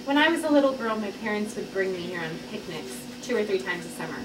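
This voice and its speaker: A woman acts out a young comic artist, earnest and wry, in a candid conversational register at middling pitch. It is a script read in a roomy space with clear background noise. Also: animated, fast talker, natural voice. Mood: wistful. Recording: echoey, noisy room, distant mic.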